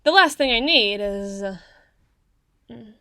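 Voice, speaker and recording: A woman acts out a socially awkward, bookish tsundere girl in her young adulthood for an ASMR roleplay. Her voice sits fairly high and her phrasing is wordy and halting, easily flustered. The sound is very dry and close, with no room echo. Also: animated, pushed and bright, exaggerated voice. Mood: anxious, hesitant. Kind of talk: ASMR roleplay, acted monologue to the listener.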